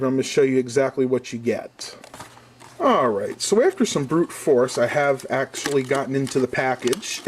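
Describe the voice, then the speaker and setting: A man's voice: mid-range voice, slight background noise. A man hosting an online video, casually reviewing a product and explaining it step by step to viewers in a chatty way. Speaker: YouTube channel host.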